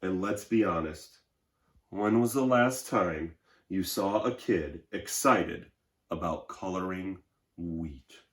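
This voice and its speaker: A man voicing a sad, slighted but proud storybook beige crayon in a plaintive, deliberate way. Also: deep voice. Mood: aggrieved, sad. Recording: roomy, echoing indoor sound.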